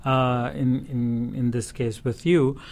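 A man with a Pakistani accent answering interview questions as a studio guest, explaining things in a halting, thoughtful way. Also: mid-range voice, little pitch movement, a little mellow. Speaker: studio guest, sitar player. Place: radio studio. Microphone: studio broadcast microphone.

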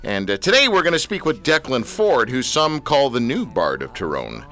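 Jokey, animated talk-show host. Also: male voice, mid-range voice, moderate pace, soft background music. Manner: jokey, teasing banter. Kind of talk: playful casual interview.

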